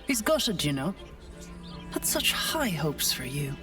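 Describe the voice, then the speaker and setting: A devil's mortal go-between in a fantasy game. She talks in a lowish, very animated voice, familiar and persuasive, over faint music. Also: takes it slowly, recorded from a distance, exaggerated voice. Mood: brash, familiar. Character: mortal woman assistant and spy for a devil, pleading. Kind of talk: video game character acting.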